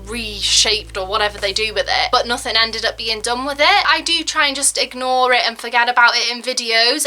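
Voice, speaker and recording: A young woman in her twenties chatting casually to camera, full of "like" and "um", acting out quoted lines. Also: mid-range voice. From Wales. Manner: chatty, frequent "like" and "um", acts out quotes. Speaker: vlogger.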